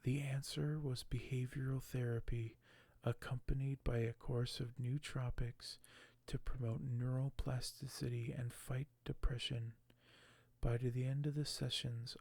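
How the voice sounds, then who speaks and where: Hushed ASMR man, gravelly and slow. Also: medium pitch, no room sound. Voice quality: hushed, soft, often whispered. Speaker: ASMR video creator. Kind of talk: ASMR unboxing and reading aloud. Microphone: close microphone.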